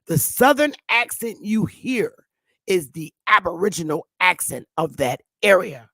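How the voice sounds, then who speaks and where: A woman's voice: lowish voice, lively intonation, unhurried, a bit bright, dry recording. A woman hosting an online talk show, giving an emphatic, preachy casual lecture and drawing out her stressed words. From northern United States. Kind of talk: casual teaching monologue.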